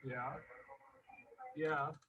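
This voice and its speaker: A man's voice: a little high, steady tone, very slow, a crowd in the background. A man giving brief, casual replies in a phone conversation. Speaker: person answering a phone call. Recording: dull phone call with faint noise.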